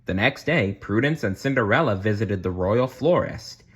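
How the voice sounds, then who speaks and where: A man reading a children's bedtime story aloud in an expressive way, with chatty asides to the listener. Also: fairly low pitch, moderate pace, slightly rough. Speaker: storybook reader.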